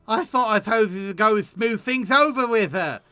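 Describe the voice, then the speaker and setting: A man's voice: high voice, lively intonation, moderate pace, sharp tone, smooth, no room sound, faint music behind. A man voicing a blustering, scheming guildmaster from a fantasy game, emphatic and folksy. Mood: indignant.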